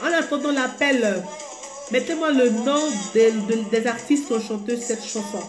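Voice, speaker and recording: A woman's voice: on the high side, moderate pace. Chatty woman hosting a live call-in show. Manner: chatty, hesitant with many fillers, repeats herself. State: has a cold. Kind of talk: casual live call-in chat. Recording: echoey room with music playing.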